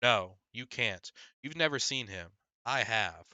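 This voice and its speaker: A man's voice: medium pitch, lively intonation, measured pace, bright, forceful tone, dry recording. A man voicing an opinionated, reluctant middle-school boy, grumbling emphatically in a storybook. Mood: insistent.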